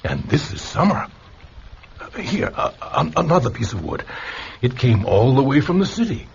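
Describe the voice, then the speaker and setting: Creaky old philosopher, swooping expressively. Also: male voice, medium pitch, huge pitch swings, moderate pace, darkish tone, slight background noise. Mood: welcoming, chatty. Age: older. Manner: theatrical, musing, with rhetorical questions. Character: talkative, opinionated old male philosopher on an alien world. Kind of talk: vintage-style radio drama acting. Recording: dull lo-fi radio drama recording. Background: music.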